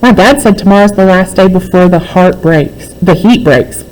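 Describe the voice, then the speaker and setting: A woman voicing an excitable, friendly young schoolgirl in a storybook, eager and full of exclamations. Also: on the low side, moderate pace, slight background noise, close to an everyday voice, poor audio. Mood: friendly, inviting.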